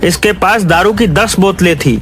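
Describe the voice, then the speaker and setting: A man's voice: high-pitched, normal speed, a bit bright, soft background music. A man voicing a stern village police inspector in a Hindi cartoon, speaking in an official, declarative way. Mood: stern, reporting. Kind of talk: Hindi moral-story cartoon acting.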